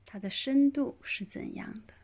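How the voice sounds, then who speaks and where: A woman leading a guided relaxation meditation in a gentle, soothing manner, with pauses between short phrases. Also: high voice. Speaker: yoga nidra meditation guide.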